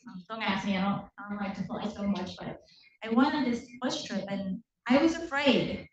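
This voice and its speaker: A woman's voice: mid-range voice, normal speed, noisy background. A woman from Puerto Rico whose first language is Spanish. She is a council member making an earnest, personal statement in a council meeting, with frequent ums. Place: council chamber. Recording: echoey chamber, distant dull mic.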